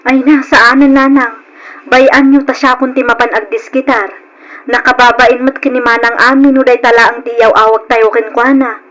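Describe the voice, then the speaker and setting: A woman's voice: on the high side, flat delivery, moderate pace, a bit bright, natural voice, poor audio. A woman playing a dutiful, considerate young provincial sister in an old Philippine radio drama. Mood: earnest protesting.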